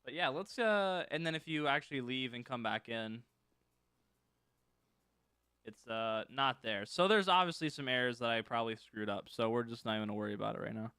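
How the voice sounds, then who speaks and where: A man's voice: medium pitch, fairly quick, bright, forceful tone, clean tone, no room sound, clean studio sound. A man casually teaching scripting on a live stream, thinking aloud as he works and chatting with viewers. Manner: thinks aloud while working, loose and informal, reads chat and answers.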